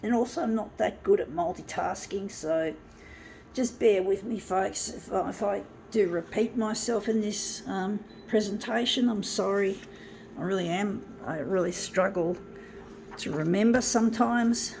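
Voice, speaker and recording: A woman giving a rambling, earnest personal monologue, halting and repeating herself as she addresses her listeners. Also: medium pitch, gravelly, a little mellow. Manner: halting, repetitive, often addressing listeners as folks. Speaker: presenter of a personal video. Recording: noisy amateur recording with background ambience.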